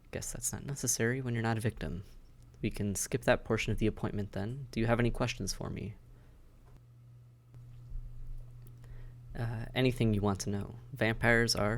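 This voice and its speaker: A man's voice: lowish voice, close to an everyday voice. A man playing a weary vampire intake officer in an audio roleplay, matter-of-fact and bureaucratic, with dry hesitation, speaking straight to the listener. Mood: dry, resigned. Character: weary, businesslike male vampire intake officer mentoring newcomers. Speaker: medical examiner and caseworker.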